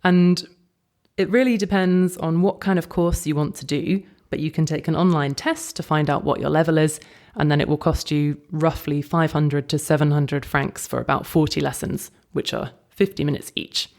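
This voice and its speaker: A woman co-hosting a casual podcast, speaking clearly and explaining practical details for newcomers. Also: low-pitched, steady tone, normal speed, darkish tone. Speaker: podcast co-host.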